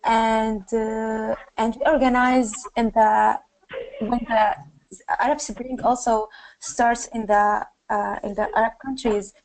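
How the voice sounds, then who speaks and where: Halting young Syrian woman, slightly creaky voice. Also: a little high, little pitch movement, darkish tone, low-quality recording. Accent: Syrian Arabic. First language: Arabic. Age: 20s. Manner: halting, searching for words in non-native English. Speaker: activist and panelist. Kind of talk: personal account in a panel discussion.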